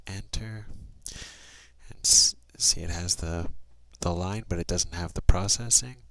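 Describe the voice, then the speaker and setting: A man's voice: low voice, a little slow, fairly bright tone, no echo. A man narrating a step-by-step screencast tutorial in a plain, procedural way, thinking aloud as he works. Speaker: software trainer.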